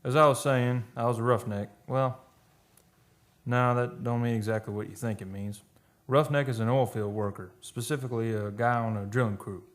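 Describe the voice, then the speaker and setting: Actor playing a folksy retired roughneck storyteller. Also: male voice, lowish voice, natural voice. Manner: colloquial, conversational storytelling. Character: folksy retired oil-field roughneck, reflective and homespun. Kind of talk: first-person radio play monologue.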